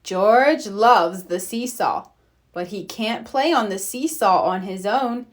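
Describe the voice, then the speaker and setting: A woman narrating a children's storybook in an expressive way for young listeners. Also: medium pitch, takes it slowly. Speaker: read-aloud storyteller.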